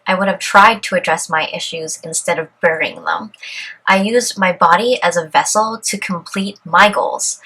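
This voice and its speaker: Earnest young woman, bright clear voice. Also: on the low side, rough recording. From Canada. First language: Cantonese. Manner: calm, earnest and measured. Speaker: vlogger. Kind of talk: reflective personal vlog, partly read aloud.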